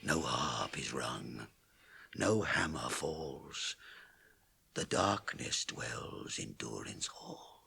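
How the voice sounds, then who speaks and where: An actor playing a proud, solemn dwarf in a radio drama, grave and declamatory, with a gravelly, husky voice. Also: male voice, deep voice, sharp tone, exaggerated voice. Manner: grave, declamatory, chanting old verse. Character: proud, solemn dwarf mourning his people's lost kingdom. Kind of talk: 1980s radio drama acting.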